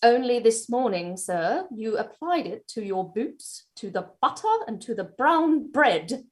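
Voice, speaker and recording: Woman playing a prim, high-voiced, insistent housekeeper. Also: big pitch swings, unhurried. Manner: deferential but insistent, proper. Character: prim, firm, respectful housekeeper scolding her master. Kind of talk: staged play reading, stage acting.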